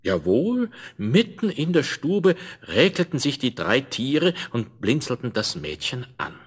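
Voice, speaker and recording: A man narrating a fairy tale in a measured, expressive way, addressing the listener, on an old vinyl record. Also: medium pitch, breathy edge. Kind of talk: scripted fairy-tale storytelling. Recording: crackly 1970s vinyl record transfer.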